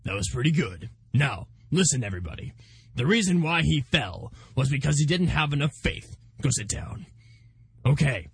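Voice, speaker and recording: Man playing a husky, cocky macho preacher. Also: mid-range voice, no room sound. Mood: stern. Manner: pompous, blustering, commanding. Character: cocky macho martial-arts preacher selling his own program. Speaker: pastor. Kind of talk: comedy sketch sales pitch.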